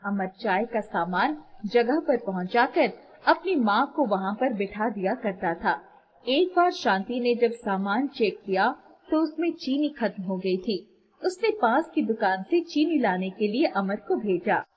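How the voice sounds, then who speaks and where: A female storyteller narrates a scripted fable. Her voice is fairly high, carried in a long reverb. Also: lively intonation, unhurried, a little hiss or hum.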